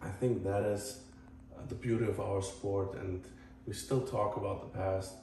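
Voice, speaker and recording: A man from Croatia with a Croatian accent, talking casually to viewers as a tennis channel host in a halting, earnest, rambling way. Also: lowish voice, steady tone, dark tone. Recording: echoey, distant mic, faint noise.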